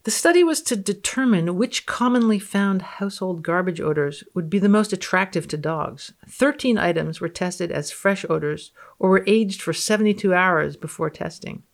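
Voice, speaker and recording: A woman's voice: on the low side, animated, moderate pace, hardly any pauses. A woman physicist appearing as a podcast guest, reading research aloud and chatting in a dry, matter-of-fact way. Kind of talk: dramatic reading and banter on a podcast.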